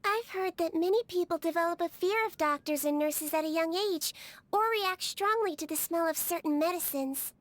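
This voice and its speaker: A woman voicing a small, sweet young female melusine nurse in a video game, with a childlike voice and a gentle, earnest, reassuring manner. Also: extremely high pitch, normal speed, no echo. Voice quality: childlike, girlish. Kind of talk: video game character voice lines. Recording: studio voice over game music.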